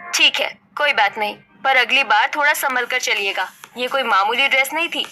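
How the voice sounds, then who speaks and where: A woman's voice: fairly high pitch, normal speed, bright, forceful tone. A woman voicing a vain, fashion-obsessed rich young woman in a family story, with a haughty, boastful manner. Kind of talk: Hindi animated family story dialogue. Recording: faint background music.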